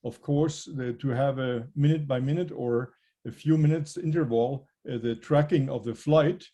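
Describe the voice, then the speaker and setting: A man answering interview questions about aviation technology, measured and hesitant as he explains technical points. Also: medium pitch, dark tone, dull audio.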